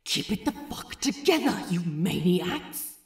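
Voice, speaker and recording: A woman's voice: fairly low pitch, very animated, slow pace, a little mellow, reverberant, heavily acted. A woman voicing a scheming young pirate crewman in a fan fiction audiobook, urgent, emphatic and sardonic. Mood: urgent, pointed.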